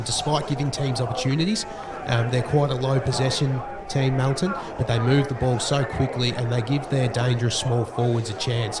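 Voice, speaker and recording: Croaky Australian co-commentator, flat delivery, hesitant, distant and roomy with a loud crowd behind. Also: male voice, medium pitch, heavy background noise. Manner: hesitant, many ums and restarts. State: croaky voice from a cold. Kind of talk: sports commentary. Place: outdoor football ground.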